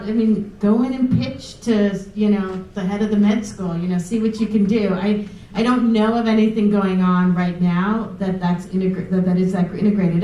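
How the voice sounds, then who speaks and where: A woman researcher speaks at length on a panel, thinking aloud and restarting her sentences. Her tone is mellow, and she sounds distant in an echoey room. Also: medium pitch. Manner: thoughtful, rambling, many restarts. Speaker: researcher in nutrition and food science. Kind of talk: panel discussion. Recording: roomy room audio from a distance.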